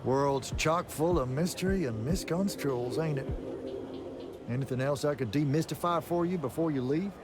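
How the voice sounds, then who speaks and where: An actor playing a world-weary battlemage mentor in a fantasy video game, talking in a wry, folksy, colloquial way. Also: male voice, a little high, normal speed, noisy background, lightly acted. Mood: wry, teasing. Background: music.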